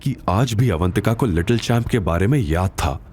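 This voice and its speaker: A man narrating an audio drama in a scripted, dramatic style with expressive, suspenseful delivery. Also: on the low side, moderate pace, slight background noise.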